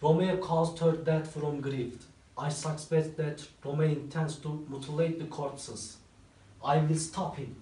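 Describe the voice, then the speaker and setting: A man's voice: a little high, level tone, unhurried, warm tone, natural voice. An actor playing a proud young nobleman who sternly accuses and challenges a rival, in an echoey room from a distance.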